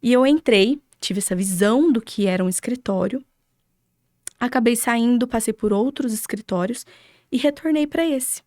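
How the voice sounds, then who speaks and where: A woman's voice: fairly high pitch, moderate pace, darkish tone, no room sound. Young Brazilian woman, close dry sound. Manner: emphatic, asks and answers own rhetorical questions. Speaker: podcast guest, architect. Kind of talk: conversational interview answers, motivational.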